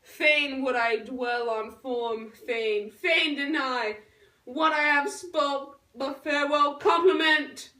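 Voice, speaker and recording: A woman's voice: sits high, pushed and bright, full character voice. A woman voicing a yearning, dramatic teenage Juliet puppet, declaiming Shakespearean verse theatrically in an amateur school show. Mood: earnest. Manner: slow, theatrical declaiming with big swells. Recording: echoey room, far from the mic.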